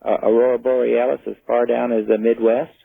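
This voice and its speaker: Man, middle-aged, American, gravelly voice, hesitant casual chat, thin dry telephone sound. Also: medium pitch, little pitch movement, fairly quick, no echo. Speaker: radio show caller. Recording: narrow phone line on radio.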